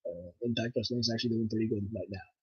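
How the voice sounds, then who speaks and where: A man's voice: lowish voice, very fast, breathy edge, no echo, highs cut off. A young man from Nova Scotia with a French Canadian accent, chatting casually and rambling on his livestream with lots of fillers. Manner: rambling, relaxed, with frequent fillers. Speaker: livestream host.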